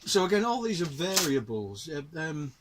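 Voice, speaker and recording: A man's voice: on the high side, big pitch swings, moderate pace, heavy background noise. A middle-aged man from the north of England with a Northern English accent, chatting patiently to viewers as he explains his gear. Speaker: host. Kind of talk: casual show-and-tell demonstration.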